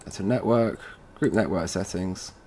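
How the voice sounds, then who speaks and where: A man presenting an informal software tutorial, casually thinking aloud as he goes step by step. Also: sits low, moderate pace. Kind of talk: informal screen-recorded software walkthrough. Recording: noisy room with keyboard typing.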